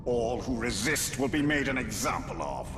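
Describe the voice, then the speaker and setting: A grave, vengeful game commander acted by a man declaims in a mid-pitched voice. Long reverb trails each phrase, with dramatic music underneath. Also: sing-song, normal speed, natural voice. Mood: cold fury. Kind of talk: video game cutscene acting. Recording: long hall reverb, cinematic music behind.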